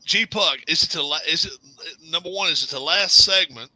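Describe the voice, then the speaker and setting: Bright, keen man, a bit high-pitched, loose joking banter, swears casually, stumbles and restarts. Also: fairly quick, dry recording, low-quality recording. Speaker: stream host. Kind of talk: casual banter during a racing league stream.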